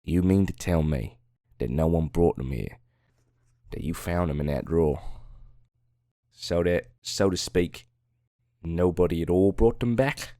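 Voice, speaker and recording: Man playing deep-voiced indignant, scornful Egyptologist. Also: measured pace, pauses often, mellow, no echo, clean studio sound. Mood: urgent, incredulous. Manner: emphatic, scornful rhetorical questioning. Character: indignant Egyptologist traveller, quick-tempered, scornful and proud. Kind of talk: dramatic audiobook reading.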